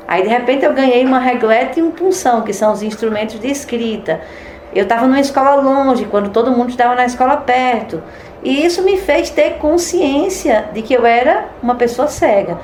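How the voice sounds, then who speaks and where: A woman's voice: fairly high pitch, a little fast, a little mellow, roomy sound, slight background noise. A woman who runs an institution, telling her story firmly with rhetorical questions. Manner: firm, reminiscing, rhetorical questions. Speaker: institution administrator. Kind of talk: interview testimony.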